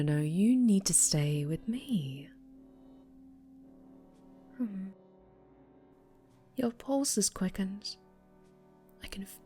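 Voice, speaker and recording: A woman playing an obsessive female superhero captor in an ASMR roleplay, speaking in breathy coos and whispers with sweet, possessive menace. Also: medium pitch, lightly acted. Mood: evasive, controlling, then soothing, intimate. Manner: breathy cooing that slips into whispers and soft menace. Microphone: close microphone.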